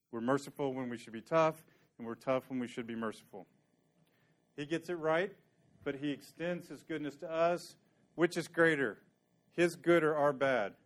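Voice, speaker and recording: A man teaching a sermon, earnest and conversational, walking through scripture and sometimes joking dryly with the congregation. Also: medium pitch. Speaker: preacher.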